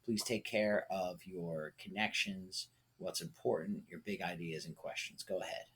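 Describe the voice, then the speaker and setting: A man's voice: low voice, steady tone, measured pace. A man teaching a lesson, thinking aloud and coaching step by step with short check-in questions. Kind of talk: instructional lesson, thinking aloud through a writing example.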